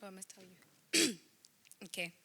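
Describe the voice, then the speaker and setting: A young woman with a South African accent, speaking as a student presenter giving a prepared pitch. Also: sing-song, a little slow, bright, forceful tone, breathy edge. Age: young adult.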